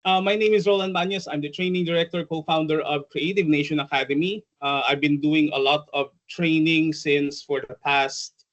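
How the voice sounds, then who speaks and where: A man's voice: on the high side, steady tone, moderate pace, a bit bright, highs cut off. A man with a Filipino accent, probably in his forties, giving an online workshop in a chatty, hesitant way, full of ums and uhs. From Philippines. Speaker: trainer and presenter. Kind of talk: online conference workshop presentation.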